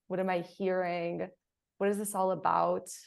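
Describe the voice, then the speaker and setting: A woman's voice: lowish voice, flat delivery, moderate pace, vocal fry. A woman speaking conversationally on a panel in a reflective, empathetic manner. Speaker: theater artist on a panel.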